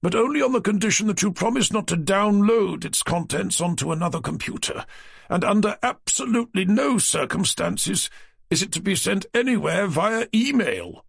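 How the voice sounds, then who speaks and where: A man's voice: sits high, expressive, moderate pace, vocal fry, breathy edge, dry recording, studio quality, pitched above the performer's own voice, full character voice. A man voicing a fussy, flustered middle-aged male publisher who talks primly and defensively. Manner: prim and defensive.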